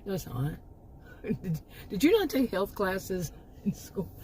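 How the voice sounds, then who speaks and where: Middle-aged woman, channel host, soft warm mid-pitched voice going hoarse; rambling, emphatic, full of restarts. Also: sing-song, measured pace, slight background noise. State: losing her voice. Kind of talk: casual opinion monologue.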